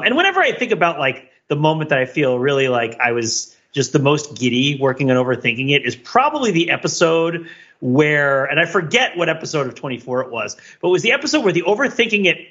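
A man speaking as a podcast panelist, telling stories casually in a rambling, animated way with playful, mock-grandiose phrasing. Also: on the high side, breathy edge, muffled recording. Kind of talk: casual chat, recollecting.

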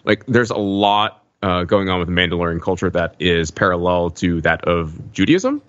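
A man's voice: sits low, lively intonation, moderate pace, no echo, highs cut off. A man co-hosting a podcast in casual discussion, tentative and often checking that others agree. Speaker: podcast host.

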